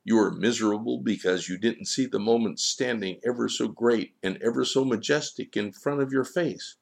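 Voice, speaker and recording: A man's voice: on the low side, normal speed, creaky, pitched above the performer's own voice. A man voicing a stern, wise boy in a storybook, admonishing in solemn, declarative sentences. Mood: firm, knowing. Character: stern, wise, all-knowing boy child, calmly admonishing. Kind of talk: storybook character dialogue.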